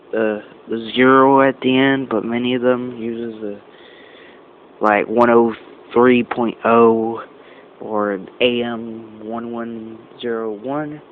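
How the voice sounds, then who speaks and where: A man talks slowly through a casual gadget review on dull, lo-fi camera audio. His delivery is halting, in short phrases with repeats and self-corrections, at an average pitch, with faint noise behind him. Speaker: amateur radio reviewer. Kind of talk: casual product review talk.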